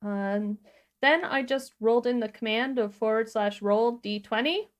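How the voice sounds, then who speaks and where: A woman co-hosting a livestream, explaining software step by step in an informal, casual tutorial style. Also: a little high, a little slow. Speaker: livestream co-host demonstrating a tutorial.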